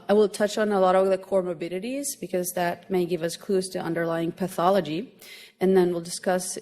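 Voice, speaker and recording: A woman from Finland with a Swedish accent, giving an academic medical lecture to a room of doctors in an explanatory, gently humorous way with frequent hesitations. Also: fairly low pitch. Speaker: grand rounds lecturer, pediatric gastroenterologist.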